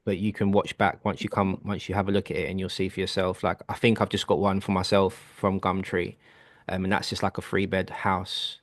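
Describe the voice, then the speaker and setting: A man with a very low voice explains his method to clients on a video call. He sounds relaxed and reassuring and keeps a steady pace. The sound is close and dry. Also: a little fast, no room sound. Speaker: property business coach.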